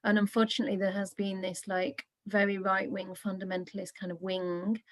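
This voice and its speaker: A woman, a Buddhist nun, leading a relaxed online question-and-answer session over a video call, speaking softly with gentle laughs. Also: mid-range voice, brisk, no room sound. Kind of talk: casual online Q&A. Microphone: video call microphone.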